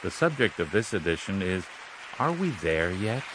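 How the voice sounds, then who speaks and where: A man's voice: sits low, sing-song, normal speed. A man giving a scripted introduction as an announcer, in a noisy recording with hiss and crowd ambience.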